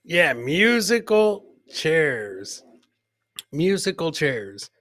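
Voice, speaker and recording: Man, comedy show host, bright forceful voice, slightly high pitch, joking clip commentary, repeated punchlines, dry close mic. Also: very animated, drawn-out words, no room sound. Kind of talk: live comedic commentary on video clips.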